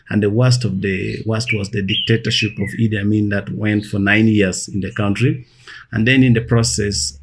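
A man in his thirties with a Ugandan accent, speaking as an interview guest in an earnest, measured way and repeating phrases for emphasis. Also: lowish voice, darkish tone, breathy edge. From northern Uganda. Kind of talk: recalling his life in an interview.

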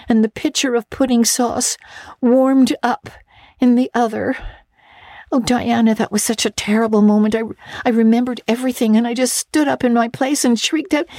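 A woman's voice: fairly high pitch, a little mellow, dry recording, clean studio sound, pitched above the performer's own voice, natural voice. A woman voicing an imaginative, earnest girl who talks breathlessly and theatrically with stammering restarts.

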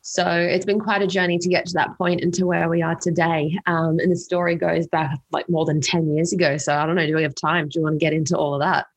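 A young woman from England with an Australian accent, her fairly low voice husky and full of creak. She chats through a relaxed interview as a company co-founder, filling gaps with "um" and doubling her words. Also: fast, hardly any pauses. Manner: chatty, filler-heavy, repeats words. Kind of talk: casual interview storytelling.

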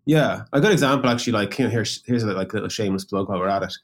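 A man's voice: lowish voice, rapid-fire. A man talking as a tech executive and co-host in a conversational business discussion, explaining informally with quoted examples.

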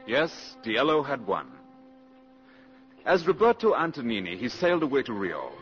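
An actor playing the sober narrator of a spy story in 1950s radio drama style. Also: male voice, mid-range voice, big pitch swings, moderate pace, lightly acted. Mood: wry storytelling. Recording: dull vintage radio recording with music.

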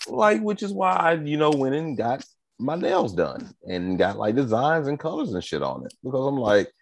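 A man's voice: mid-range voice, sing-song, moderate pace, dry recording. A thirty-seven-year-old man co-hosting a podcast in casual chat, laid-back and teasing, swearing freely. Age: 30s. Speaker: podcast co-host.

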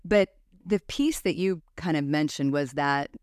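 A woman's voice: deep voice, unhurried, a little mellow, clean tone, no echo, studio quality. A middle-aged woman hosting a podcast, talking in a casual, conversational interviewing style. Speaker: podcast host.

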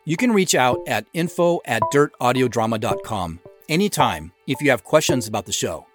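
A man's voice: medium pitch, lively intonation, moderate pace. A man giving scripted commentary on his audio drama as its creator. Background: music.

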